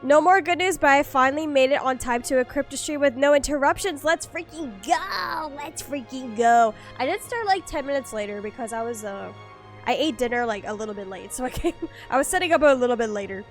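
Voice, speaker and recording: A woman's voice: high voice, expressive, brisk, soft background music. Chatty stuffy-nosed woman streamer. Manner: rambling, lots of fillers and restarts, chatty with viewers. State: a bit stuffy from allergies. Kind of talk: casual gameplay stream chat. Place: at home.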